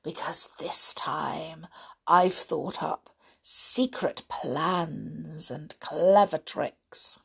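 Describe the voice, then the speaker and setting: A greedy, boastful enormous male crocodile from a storybook, voiced by a woman reading aloud. He gloats slowly over his words in a gravelly, unhurried voice that leans louder than the narration around it. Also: low-pitched, heavily acted.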